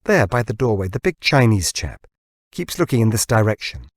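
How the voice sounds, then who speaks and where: A man's voice: on the low side, big pitch swings, normal speed, no echo, studio quality. A man reading an audiobook as a proud, glum man who puts on hearty bonhomie, blustery and wry. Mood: suspicious. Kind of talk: audiobook character dialogue.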